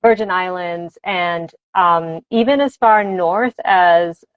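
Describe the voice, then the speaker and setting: A woman's voice: fairly low pitch, slow pace, no echo. A woman who is a native Spanish speaker, explaining things conversationally as a teacher being interviewed, reflective and enthusiastic. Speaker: language teacher and advocate, interview guest. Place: dry close room on a video livestream. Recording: dull, lo-fi livestream audio.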